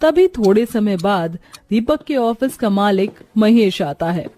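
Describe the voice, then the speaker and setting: Lady reading a moral story, soft warm tone, slow, faint background music. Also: mid-range voice, lively intonation. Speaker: story narrator. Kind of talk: scripted moral story narration.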